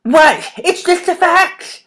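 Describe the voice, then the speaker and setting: A woman voicing a defiant, bitter teenage werewolf boy in an audiobook, with sharp, sarcastic retorts. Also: very high-pitched, expressive, slow, pitched above the performer's own voice, exaggerated voice. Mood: defiant, dismissive. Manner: harsh, sarcastic, growling retorts.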